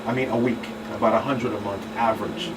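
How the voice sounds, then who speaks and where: A man who works as a police spokesman talks as a guest on a discussion show. He is halting and repeats words, picked up from a distance with clear background noise. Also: lowish voice, fairly even intonation. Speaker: police public information officer, guest.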